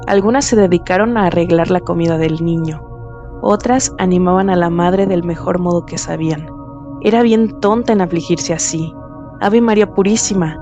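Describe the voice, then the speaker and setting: A woman's voice: fairly low pitch, warm tone. A woman narrating a literary story in a dramatized radio reading. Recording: music underneath.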